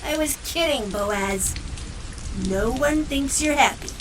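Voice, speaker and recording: A woman voicing an excitable, teasing female talking animal in a comedic audio drama. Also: sits high, slow. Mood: excited. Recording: distant, noisy, rain in background.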